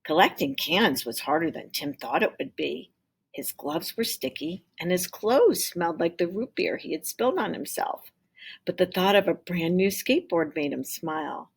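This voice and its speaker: Female teacher for children's read-aloud narration: very animated intonation, husky and creaky. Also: fairly low pitch, moderate pace.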